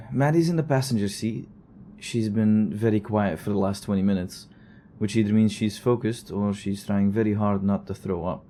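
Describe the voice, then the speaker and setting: A man's voice: lowish voice, moderate pace, dark tone, slight background noise, lightly acted. An actor playing a weary, wry male investigator who records a conversational log in an audio drama, with a dry, sardonic, rambling manner.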